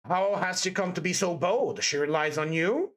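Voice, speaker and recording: A woman's voice: on the low side, moderate pace, voice pushed up, close to an everyday voice. A woman voicing a bitter, sombre young man in an audiobook, asking terse, pointed questions. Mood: probing, grim. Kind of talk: dramatised audiobook character dialogue.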